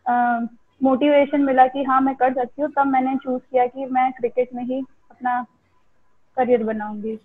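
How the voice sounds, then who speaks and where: High-voiced hesitant young woman, muffled call. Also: little pitch movement. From India. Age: early 20s. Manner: hesitant, halting with frequent pauses. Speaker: interviewed cricketer. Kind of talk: casual interview answers. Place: remote call on social media live. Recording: muffled, band-limited video call audio.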